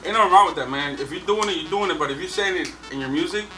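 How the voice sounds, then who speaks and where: A man's voice: a little high, rapid-fire. A man from Guatemala talking casually as a guest in an interview, laid-back and slangy, laughing easily. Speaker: rapper guest. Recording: distant mic with loud music behind.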